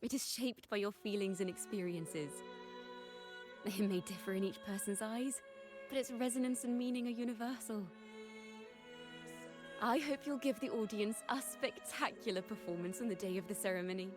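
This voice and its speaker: An actress voicing a gentle, poetic young female bard in a video game, with lyrical, measured, earnest delivery. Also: mid-range voice, lightly acted. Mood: gentle, curious. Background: music.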